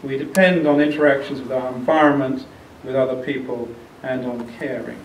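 An older man giving a public lecture, speaking in a measured, explanatory way with frequent pauses. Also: medium pitch, fairly even intonation, slight background noise. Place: large lecture theatre. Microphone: podium mic, then handheld. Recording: echoey hall picked up from a distance.

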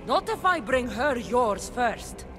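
Confident mercenary warrior, calm dry delivery, lowish voice for a woman, game music behind. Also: slow. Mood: cool. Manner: calm, dry and self-assured. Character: cool, confident female mercenary warrior, adult. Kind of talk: video game cutscene acting. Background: game score.

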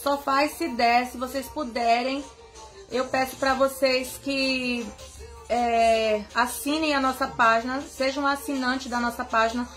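Young woman, high voice; affectionate live-stream host shout-outs, phone mic, soft music under. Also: fairly even intonation, a little slow. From Bahia, Brazil. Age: young adult. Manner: chatty and affectionate, greeting viewers by name. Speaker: singer hosting a live stream. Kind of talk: casual live-stream chat. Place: bedroom indoors, seated on bed. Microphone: phone camera at arm's length.

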